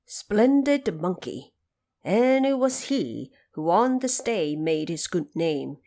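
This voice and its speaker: A woman storyteller giving an expressive, theatrical narration of a scripted storybook audio drama. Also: fairly low pitch, slow.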